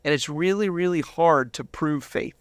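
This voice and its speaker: A man's voice: on the high side, moderate pace, smooth, dry recording, studio quality. A man giving a personal testimony as an interview guest, retelling moments with quoted dialogue acted out.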